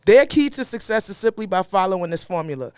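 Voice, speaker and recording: A man with a Brooklyn accent co-hosting a casual talk show, animated and playful, reading a guest bio aloud. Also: high-pitched, fast, clean tone, dry recording, clean studio sound. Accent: Brooklyn, New York. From New York. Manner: animated, playful, laughs often. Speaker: co-host.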